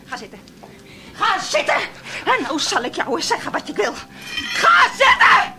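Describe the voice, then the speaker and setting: A woman's voice: extremely high pitch, wildly swooping pitch, unhurried, shouting, over music, heavily acted. An actress in a radio play, playing a bitter, lonely woman who resents caring for her brother, with a brittle, intense manner. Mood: furious, threatening. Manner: brittle and intense, mimics others mockingly.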